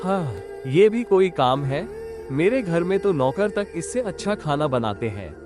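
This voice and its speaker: A man plays a spoiled rich young man in a cartoon moral story, scornful and boastful, with a fairly high voice that swings up and down a lot. Light music plays behind him. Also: very animated, unhurried. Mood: mocking. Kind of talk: animated storybook acting.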